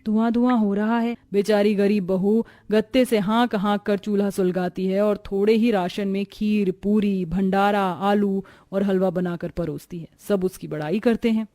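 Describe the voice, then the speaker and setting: A woman reading scripted narration for an animated moral story. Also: medium pitch, normal speed, few pauses, no echo, clean studio sound.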